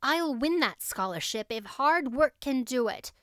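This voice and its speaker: A woman's voice: sits high, lively intonation, measured pace, fairly bright tone, no room sound, studio quality, voice pushed up. A woman voicing an earnest, ambitious, dreamy teenage schoolgirl with an eager, sincere manner, in an audiobook story. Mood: eager, hopeful.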